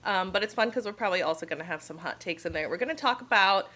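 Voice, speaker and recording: Bright-toned woman hosting a podcast. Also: medium pitch, expressive, fast talker. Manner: upbeat, leads the talk. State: slightly scratchy sore throat. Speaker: podcast co-host. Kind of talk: casual chat.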